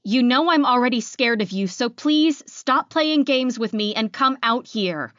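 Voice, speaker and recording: A woman's voice: a little high, expressive, a little slow, a bit bright, no room sound, highs cut off, pitched above the performer's own voice. A woman voicing a scared young woman putting on a brave front in an audiobook, anxious and pleading.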